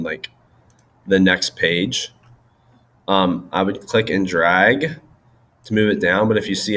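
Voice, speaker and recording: A young man with a stuffed-up nose walks through a software tutorial in a loose, chatty way, full of ums and likes. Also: sits low. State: stuffy-nosed from allergies. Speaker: tutorial presenter. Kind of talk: casual screen-recorded software tutorial.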